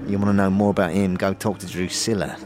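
Man playing a folksy, low-voiced fantasy tavern keeper. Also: level tone, fast, natural voice. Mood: confiding, chatty. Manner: folksy, slangy, stammering asides. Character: gruff, chatty male tavern keeper in a fantasy slum. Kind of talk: fantasy audio drama acting.

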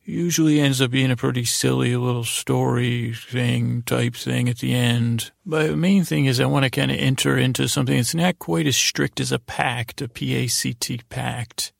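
Very creaky, lulling American man. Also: mid-range voice, fairly even intonation, no echo, clean studio sound. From United States. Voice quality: very creaky, gravelly. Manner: meandering, halting, with stammered restarts; lulling, soothing delivery. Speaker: podcast host. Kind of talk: rambling bedtime-story podcast intro.

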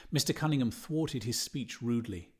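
A man narrates literary fiction from a script. His voice sits at a middle pitch and has a noticeably husky, breathy texture. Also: normal speed, darkish tone. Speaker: audiobook reader.

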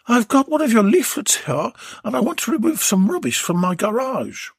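A bold, flirtatious woman, played by a storybook narrator, lifted high in a husky voice. She speaks brisk and eager, with a lively rise and fall. The sound is close and dry. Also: dry recording, full character voice.

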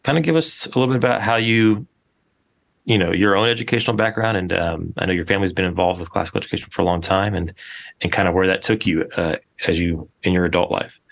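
Man, podcast host, very low voice, gravelly, hesitant with fillers. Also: fast talker. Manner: hesitant, filler-heavy speech. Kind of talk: interview introduction.

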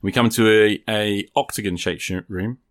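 A man's voice: fairly low pitch, fairly even intonation, normal speed, no echo, clean studio sound. A man playing an improvised tabletop game, talking casually and agreeably with his fellow player.

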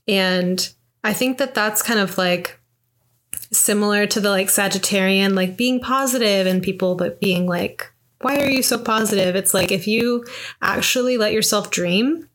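A young woman co-hosting a podcast, talking in a reflective, soft-spoken way with many fillers about astrology and visualization. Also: mid-range voice, moderate pace. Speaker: podcast co-host. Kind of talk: casual conversation about astrology.

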